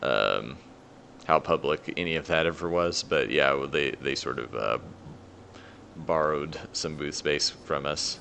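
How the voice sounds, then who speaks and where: A man with an extremely deep, gravelly voice talks hesitantly, stopping to rephrase himself. Faint noise sits in the background. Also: measured pace, sharp tone. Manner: hesitant, self-correcting. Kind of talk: casual gaming chat.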